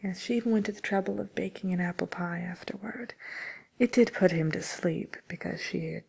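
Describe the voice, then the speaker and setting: A woman playing a genteel, businesslike matchmaker for villains in a whispered ASMR role play, murmuring politely and hesitantly in a breathy voice. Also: on the low side, a little fast, darkish tone, heavily acted. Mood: gently amused.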